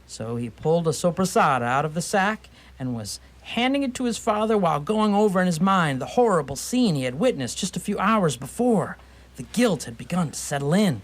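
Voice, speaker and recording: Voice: mid-range voice, sing-song, a little fast. A narrator reading scripted storytelling for a radio theatre play, with wry dramatic timing.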